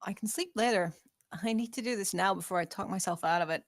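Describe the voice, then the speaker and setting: A woman's voice: mid-range voice, fast talker, no room sound, clean studio sound, close to an everyday voice. A woman playing a troubled, guilt-ridden young woman who is earnest and determined, in an informal screenplay table read.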